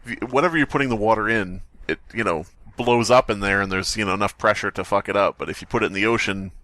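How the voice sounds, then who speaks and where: A man's voice: lowish voice, quick pace, sharp tone, no echo. A man co-hosting a casual podcast who rambles, hedges and critiques the stories. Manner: rambling, lots of fillers and hedges. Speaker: podcast co-host.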